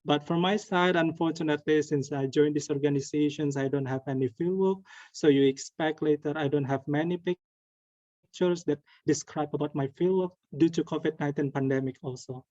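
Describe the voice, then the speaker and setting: A young man from Indonesia giving an earnest online presentation over a video call, with pauses and restarts. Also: fairly high pitch, steady tone, darkish tone, no echo. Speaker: webinar presenter. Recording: dull lo-fi call audio.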